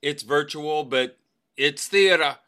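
A man co-hosts a podcast with a bright, forward voice pitched a little high. He gives casual announcements in a chatty way. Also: slow pace. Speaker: podcast co-host. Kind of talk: casual show announcements and chat.

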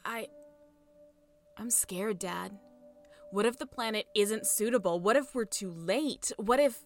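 A woman's voice: mid-range voice, a little slow, soft background music, natural voice. A woman playing an anxious young scientist and dutiful daughter in a sci-fi audio drama, hesitant, earnest and emotional. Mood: scared, self-doubting.

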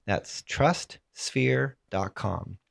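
A man's voice: lowish voice, very slow. Man hosting a tech podcast with a cold. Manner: clears throat now and then, fillers. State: has a cold, voice strained. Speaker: podcast host. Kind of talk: solo tech podcast explaining software.

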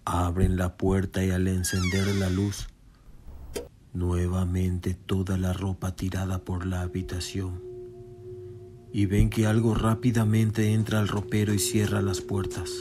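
Very deep, even-toned male horror narrator. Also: measured pace, noisy background. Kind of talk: dramatic scripted horror radio narration. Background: prominent music.

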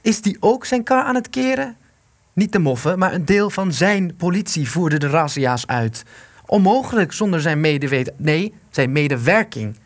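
An actor playing a bitter, despairing husband in wartime hiding in a Dutch radio play, sarcastic and agitated. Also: male voice, fairly high pitch, lively intonation, moderate pace, natural voice. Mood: indignant.

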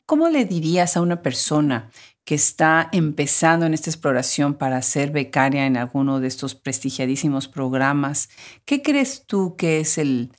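A woman's voice: low voice, lively intonation, moderate pace. A woman hosting an interview, asking long, winding questions in an engaged, conversational way. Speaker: interviewer, host.

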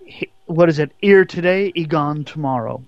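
Male radio co-host, a bit high-pitched, halting and stuttery, dull dry sound. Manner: halting, stuttery, many ums and you-knows. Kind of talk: casual radio banter.

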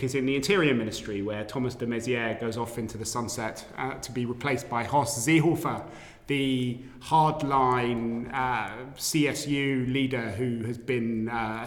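A man's voice: medium pitch, a bit bright. A native English-speaking man moderating a political panel discussion, with hesitant phrasing and repeated words. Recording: echoey, reverberant hall.

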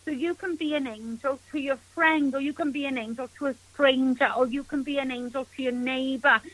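A woman's voice: sits high, pushed and bright, low-quality recording. A woman with a Welsh accent, a spiritual healer speaking as a guest on a radio interview, earnest and gushing with thanks.

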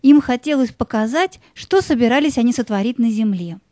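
Mellow, high-voiced woman storyteller. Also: expressive, a little fast, no echo, clean studio sound. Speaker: narrator. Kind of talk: scripted fairy-tale narration.

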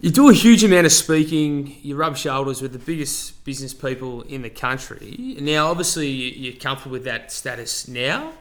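Male podcast host with a mid-pitched voice, asks probing questions. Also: expressive, moderate pace, hardly any pauses. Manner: probing, conversational. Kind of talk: interview questions.

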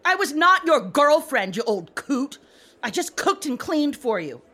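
A high, swooping villainess of a robot rabbit, acted by a woman, leads her revolution with scorn and fury. She is bright and forceful, theatrically imperious, in a comic radio play over music. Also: sing-song, a little slow, full character voice. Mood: scornful. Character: mocking female robot rabbit revolution leader, furious and theatrical. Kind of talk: comedic radio play acting.

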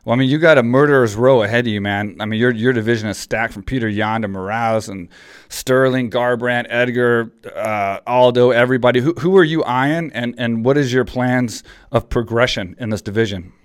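A man's voice: medium pitch, smooth, no room sound. Easygoing male host, casual interview questions, dry room. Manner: laid-back, agreeable, quick to laugh.

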